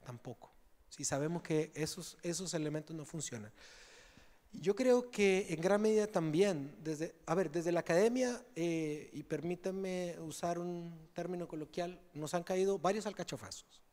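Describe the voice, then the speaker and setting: A man's voice: fairly high pitch, moderate pace, a little mellow. A man from Mexico with a Mexican Spanish accent, answering an audience question at a conference in a conversational way, often checking in with his listeners. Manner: conversational, tags phrases with "¿sí?" and fillers. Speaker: conference speaker. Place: large conference hall. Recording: reverberant conference hall.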